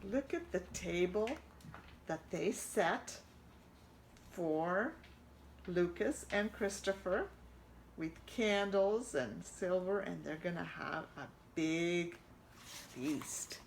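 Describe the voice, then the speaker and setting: A middle-aged woman reading a picture book aloud to children, expressive and pointing out the pictures. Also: fairly low pitch, takes it slowly, vocal fry, pauses often, slight background noise, low-quality recording. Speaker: children's storytime reader.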